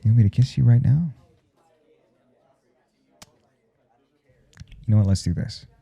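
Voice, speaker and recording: Male actor as callous but earnest professor, very dark mellow tone, gravelly, intimate ASMR roleplay, halting and teasing. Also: highs cut off. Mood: taunting, playful. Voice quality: low, gravelly, soft and dark. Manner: halting, confiding, blunt and profane.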